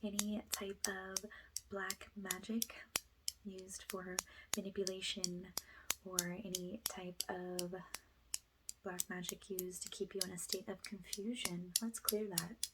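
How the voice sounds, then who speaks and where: A woman leading an ASMR guided spiritual healing session, speaking softly and breathily, often in a whisper, with slow, gentle, soothing guidance. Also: mid-range voice, flat delivery, heavy background noise. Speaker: ASMR energy healer, host.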